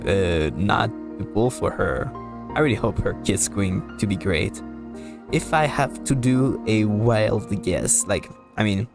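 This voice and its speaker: A man giving casual live commentary as a game streamer, rambling and halting as he repeats words. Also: fairly low pitch.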